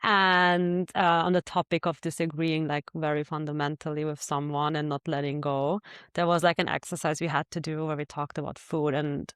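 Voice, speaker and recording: A woman co-hosting a podcast, telling stories in animated casual talk with many fillers. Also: sits low, normal speed, clear, resonant tone, no room sound, clean studio sound. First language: German. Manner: animated storyteller, laughs easily, many fillers. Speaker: podcast co-host. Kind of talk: casual chat.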